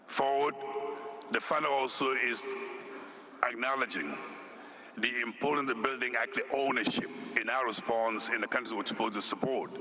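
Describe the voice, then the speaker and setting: A man from Senegal, an executive director, giving a formal conference speech in a deliberate, measured way, listing his points one by one. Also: mid-range voice, background noise. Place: conference hall.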